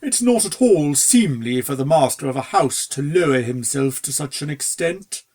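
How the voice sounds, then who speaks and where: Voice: mid-range voice, animated, moderate pace, a little mellow, close to an everyday voice. A reader voicing a fussy, servile male pony butler in a storybook, formal, hesitant and deferential. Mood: hesitant, reproving. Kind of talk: storybook character dialogue.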